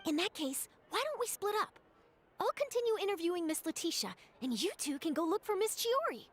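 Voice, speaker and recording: A woman's voice: very high voice, big pitch swings, brisk, a little hiss or hum. A woman playing a polite, keen, inquisitive young female reporter in a video game. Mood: keen, encouraging.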